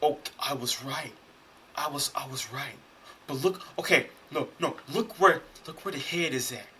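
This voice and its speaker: An American man hosting a reaction video, reading text aloud and commenting on it in an animated way, repeating phrases for emphasis. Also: medium pitch, moderate pace, slight background noise.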